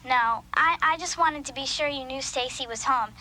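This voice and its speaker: An excitable preteen schoolgirl in a children's audio drama. The voice is high and bright, with an earnest, emphatic delivery. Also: normal speed. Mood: insistent.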